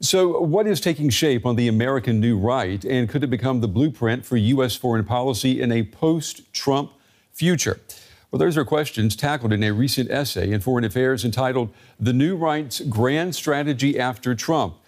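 A male news anchor with a mid-pitched voice. He reads his links in a measured, scripted way. He questions guests with the odd um. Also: darkish tone. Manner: measured, scripted delivery with occasional ums in interviews. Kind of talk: broadcast news presenting.

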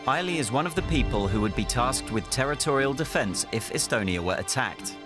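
A man reading scripted documentary news narration in a measured, even delivery. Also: medium pitch. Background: music bed.

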